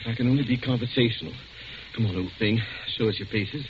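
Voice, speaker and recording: An actor playing an urbane, witty English aristocratic detective in a 1940s radio drama, with a breezy, ironically polite British manner. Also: male voice, medium pitch, quick pace, lightly acted. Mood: breezy, wry. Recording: muffled, noisy 1940s radio recording.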